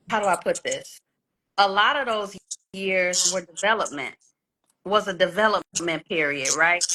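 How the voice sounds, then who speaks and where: A woman's voice: on the low side, bright, forceful tone, rough recording. A woman in her thirties, an R&B singer talking candidly in a casual interview, swearing freely and often repeating words. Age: 30s. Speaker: guest R&B singer and songwriter.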